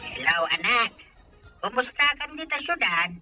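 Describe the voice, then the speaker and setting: A man's voice: extremely high pitch, big pitch swings, moderate pace, sharp tone, dry recording, faint music behind, pitched above the performer's own voice, full character voice. A man voicing a doting, wheedling older village father in an amateur radio-style drama. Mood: affectionate longing.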